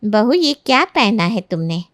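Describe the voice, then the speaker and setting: A woman's voice: medium pitch, very animated, unhurried, a bit bright, dry recording, clean studio sound. A woman voicing an indignant older mother-in-law who scolds in a dramatised moral story.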